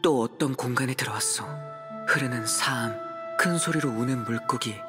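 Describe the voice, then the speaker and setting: A man's voice: mid-range voice, normal speed, close to an everyday voice. A man voicing an earnest, pensive young male traveler in a game, speaking in grave, reflective monologue. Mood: ominous, grave. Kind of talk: Korean game voice acting. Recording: music behind the voice.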